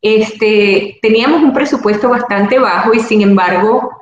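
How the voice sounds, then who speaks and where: A woman with a Venezuelan Spanish accent answers interview questions in an earnest, reflective way. Her voice rings around a strongly reverberant room with a faint hiss beneath it. Her pitch sits in an ordinary middle range. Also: measured pace, lo-fi sound. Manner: earnest, thoughtful, grateful tone. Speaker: actress and producer, interview guest. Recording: very echoey room, faint background noise.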